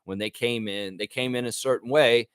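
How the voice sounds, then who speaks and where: A man's voice: mid-range voice, steady tone, moderate pace, a bit bright, clear, resonant tone, no room sound, clean studio sound. A man hosting a live sports fan chat, talking informally and conversationally as he thinks aloud to his viewers.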